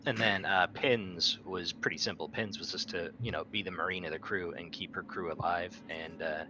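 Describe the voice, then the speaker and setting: A man's voice: low voice, fairly even intonation, normal speed, pushed and bright, poor audio. A man casually recapping his characters as a player in a tabletop roleplaying game. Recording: faint background noise.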